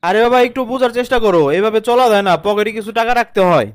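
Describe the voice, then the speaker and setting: Man playing a high-voiced, put-upon Bangladeshi husband. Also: very fast, no room sound, lightly acted. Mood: pleading, resigned. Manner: protesting, complaining. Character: put-upon, exasperated young cartoon husband and son. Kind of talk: comedy cartoon dialogue.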